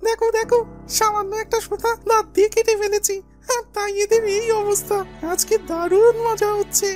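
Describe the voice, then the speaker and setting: Very high little voice, cheeky boy mouse, gleeful, defiant, cartoon delivery, music behind. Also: little pitch movement, brisk. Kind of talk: animated cartoon voice acting.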